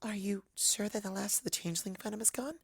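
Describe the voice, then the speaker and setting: A woman puts on a timid female cartoon pony from a story. The voice is soft, gentle and hesitant, with an earnest manner. It sounds dry and free of room echo. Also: medium pitch, fast, vocal fry, husky, voice pushed up. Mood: timid, worried.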